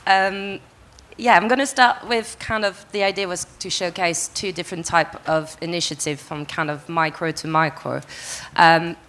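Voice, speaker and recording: A woman with a somewhat low voice introduces herself on a panel. She speaks in a friendly, easygoing way with little fillers. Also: steady tone, normal speed, fairly bright tone. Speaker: sustainability coordinator and lecturer.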